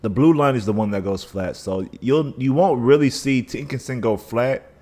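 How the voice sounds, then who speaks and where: A man's voice: medium pitch, moderate pace, warm tone. A man hosting a casual live stream, talking through chart analysis with a scratchy voice and a dry mouth, thinking aloud with many restarts. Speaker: livestream trading host.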